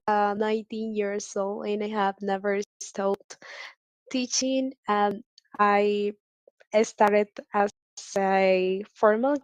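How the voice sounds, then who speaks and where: A woman talks about her teaching over a video call. Her voice is smooth and close, with little rise and fall. She speaks slowly and hesitantly, filling the gaps with fillers. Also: medium pitch, dry recording. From Guatemala. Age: 20s. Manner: hesitant, many fillers, light laughs. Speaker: guest English teacher. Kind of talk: casual interview answers.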